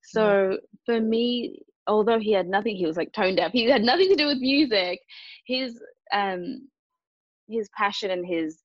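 A woman from Japan and Australia, a native Japanese speaker, talking earnestly and reflectively as an interview guest, in a calm, hesitant way. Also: mid-range voice, a little slow, no room sound, muffled recording.

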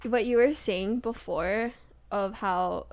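A woman's voice: mid-range voice, takes it slowly, gravelly, a little mellow, no room sound. A young American woman in casual chat, rambling and filling her talk with "like.". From United States. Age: young adult. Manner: rambling, heavy use of "like".

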